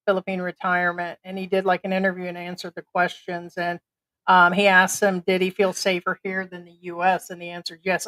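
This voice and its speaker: A woman's voice: mid-range voice, fairly bright tone, poor audio. A woman from the United States co-hosting a casual livestream chat, talking freely and cutting in with her own points. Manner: chatty, interjecting, talks to pet. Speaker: co-host of a livestream.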